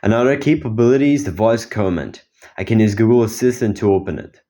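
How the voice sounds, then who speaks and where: Low-voiced teenage guy presenting. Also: fast, warm tone. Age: teens. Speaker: student inventor presenting own project. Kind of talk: explanatory project demo.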